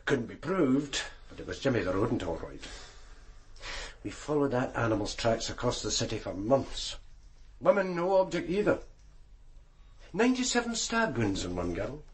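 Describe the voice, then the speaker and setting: A man's voice: mid-range voice, sing-song, moderate pace, natural voice. A man playing a seasoned, grim police detective in a radio crime drama, Scottish, speaking tersely and respectfully. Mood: grim, brooding.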